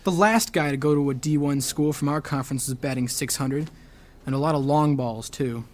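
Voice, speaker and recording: Guy acting a teen boy jock in an audio drama, higher voice, earnest, kinda defensive and frustrated. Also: normal speed, darkish tone, natural voice. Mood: dejected. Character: determined, frustrated teenage high school athlete son.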